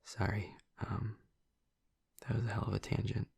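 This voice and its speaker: Hushed, gravelly young male vampire played by a man. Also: on the low side, level tone, normal speed, dry recording, heavily acted. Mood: relaxed, rambling. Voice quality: breathy, husky, gravelly murmur. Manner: hesitant, rambling, casual. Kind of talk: intimate audio roleplay addressed to the listener.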